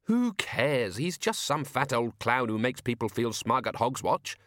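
A man voicing an exasperated, sharp-tongued young woman in an audiobook, challenging and indignant. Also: medium pitch, sing-song, measured pace, no room sound, studio quality, voice pushed up.

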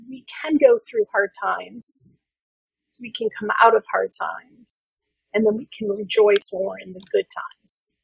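A woman with a very husky, rough voice that often drops into fry, recorded close and dry. She speaks as an interview guest, earnest and conversational, working out her thoughts aloud. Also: mid-range voice, dry recording, rough recording. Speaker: podcast guest, women's business advocate.

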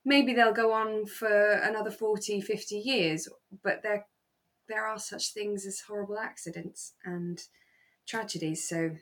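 A woman co-hosting a podcast, chatting casually in a reflective, gently hedging and agreeable way. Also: medium pitch, steady tone, unhurried. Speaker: podcast co-host. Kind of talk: relaxed casual chat about a radio drama.

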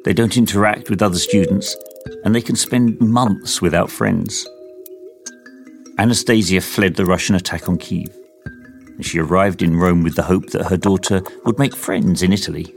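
Low-voiced guy reading podcast narration, calm and measured, quiet music under it. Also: vocal fry. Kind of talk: scripted documentary narration.

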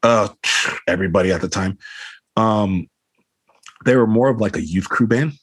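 A man's voice: lowish voice, normal speed, slightly rough, no room sound. A man, a band frontman, talking casually in an interview over a video call, rambling with lots of fillers and swearing. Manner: rambling, swears a lot, many fillers like "you know what I mean".

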